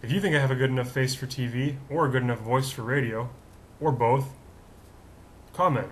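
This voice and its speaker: A man with a deep voice hosting his own daily vlog, rambling in a chatty, self-mocking way with lots of fillers. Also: brisk, a little hiss or hum. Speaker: vlog host. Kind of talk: casual rambling vlog monologue.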